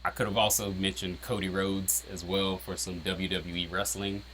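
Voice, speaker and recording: A man with a very low, deep voice talks through the meaning behind his own rap verses. His tone is bright and forceful despite the low pitch. He rambles casually, filling gaps with "um", "like" and "you know". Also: level tone, normal speed, slight background noise, rough recording. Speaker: rapper breaking down his own lyrics. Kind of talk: casual explanatory chat.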